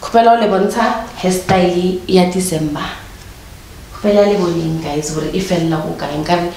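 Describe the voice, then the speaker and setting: A young South African woman with a Sotho accent, talking to her viewers in an easy, chatty way and sprinkling in affectionate pet names. A cold leaves her a little nasal and her voice sits on the low side. The room around her is roomy, with a faint hiss underneath. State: nasal from a flu. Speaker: vlogger. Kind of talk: casual chat to camera. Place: small room indoors, couch, pink lighting. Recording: roomy indoor sound with faint noise.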